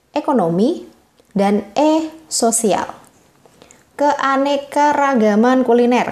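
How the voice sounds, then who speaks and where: A woman tutor working through exam questions, reading the answer options slowly and explaining them patiently to her viewers. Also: a little high, a little mellow, roomy sound.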